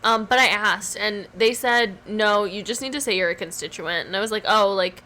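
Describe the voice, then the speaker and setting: A woman co-hosting a podcast in casual chat, hoarse from swollen tonsils, rambling with lots of "like" and "um". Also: medium pitch, normal speed, sharp tone. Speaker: podcast co-host.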